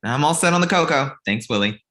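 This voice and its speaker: A man acts out a glib, self-deprecating filmmaker in a comedy read. The voice is mid-pitched and very animated in intonation, with a casual, wry delivery. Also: fairly quick. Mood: dismissive. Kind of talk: table read comedy acting.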